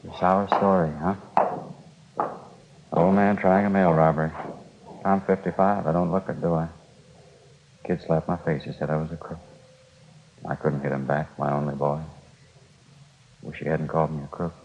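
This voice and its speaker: A man's voice: rumbling low, flat delivery, moderate pace, creaky, pauses often. An actor playing a weary, heartbroken crook in his fifties in 1950s radio drama, pleading plaintively and repeating himself. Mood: dejected, hurt. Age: 50s. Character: weary, heartbroken fifty-five-year-old robbery mastermind abandoned by his son. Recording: dull, noisy vintage radio broadcast.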